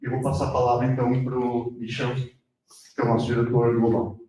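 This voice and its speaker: A man's voice: mid-range voice, monotone, fairly quick, dark tone, far from the mic. Casual man giving an intro in an echoey hall. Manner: casual, with tag questions like "né?". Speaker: company representative introducing the speaker. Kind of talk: brief company introduction.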